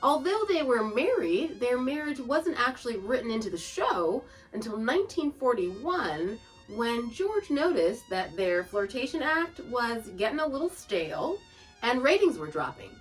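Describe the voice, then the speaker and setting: A woman podcast host with a high, very animated voice, talking casually as she introduces things. She sounds a little way off from the mic, with music underneath. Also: unhurried, far from the mic, low-quality recording. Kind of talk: casual informative narration introducing old radio shows.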